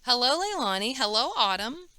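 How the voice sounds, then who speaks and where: A woman's voice: on the high side, sing-song, normal speed, pushed and bright, smooth, dry recording, pitched above the performer's own voice. Woman voicing a friendly, obliging shopkeeper lady. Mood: welcoming.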